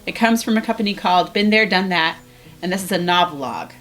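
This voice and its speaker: A woman's voice: fairly low pitch, lively intonation, fairly quick, fairly bright tone. Woman presenting casually, music behind. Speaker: school librarian hosting a virtual library show. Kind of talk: scripted-casual presenting to camera for students.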